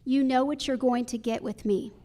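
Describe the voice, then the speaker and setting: Woman, high voice, judicial candidate, forum speech, emphatic, repeats key phrases. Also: steady tone, moderate pace, mellow. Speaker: judge and election candidate.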